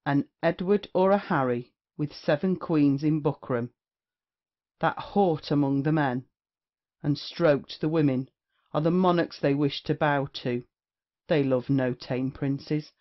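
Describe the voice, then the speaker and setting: A man's voice: a little high, takes it slowly. Wry courtier acted by a man, dull recording. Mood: wry, knowing. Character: worldly, wry gentleman of the royal court. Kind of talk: Restoration comedy audio play acting. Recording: dull lo-fi audio.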